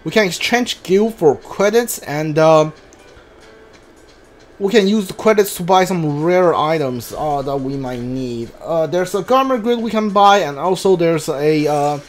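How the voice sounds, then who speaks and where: A man's voice: fairly high pitch, measured pace. A man doing casual video game playthrough commentary, reading on-screen text aloud and mumbling to himself as he thinks. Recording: game music behind the voice. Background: video game music.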